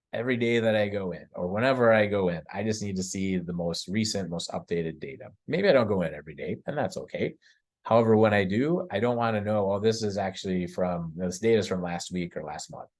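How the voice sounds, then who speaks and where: Low-pitched man presenting, conversational and halting. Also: darkish tone. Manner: conversational, halting, repeats words while thinking. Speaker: company presenter. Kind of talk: explanatory business webinar presentation.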